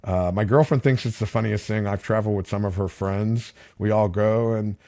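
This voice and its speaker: A middle-aged man, a native Spanish speaker, tells stories in a slightly low, easygoing voice. He rambles a little, with plenty of uhs and restarts, like a casual podcast guest. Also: moderate pace. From Palm Beach County, Florida. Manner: relaxed, chatty, lots of fillers and restarts. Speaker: podcast guest, fishing captain. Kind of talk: casual interview storytelling.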